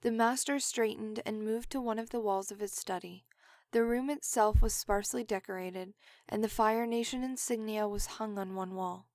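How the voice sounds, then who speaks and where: A woman's voice: medium pitch, steady tone, moderate pace, darkish tone, dry recording. Woman narrating, very dry close sound. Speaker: audio drama narrator. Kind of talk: scripted third-person story narration, with retakes.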